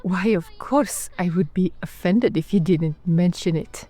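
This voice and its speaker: A woman's voice: lowish voice, a little slow, dark tone. A woman playing an eccentric, languid vampire archduchess in a fantasy roleplay, airy, drawling and theatrical as she dotes. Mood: doting, pleased.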